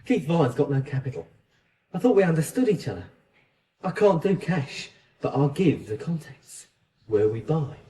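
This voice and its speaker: A defensive, excitable small-time crook in a radio thriller. His mellow voice swings widely in pitch. It rings with long reverb, as if in a hard-walled space. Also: moderate pace, distant mic. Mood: indignant. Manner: excitable, protesting, swearing. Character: edgy, defensive male small-time criminal, talking himself up. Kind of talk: radio drama acting. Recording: echoey, reverberant, off-mic radio drama scene.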